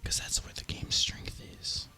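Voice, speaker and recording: A person speaking in a breathy, unvoiced ASMR whisper, musing casually and informally as gaming commentary. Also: a little slow, sharp tone. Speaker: host of an ASMR gaming channel.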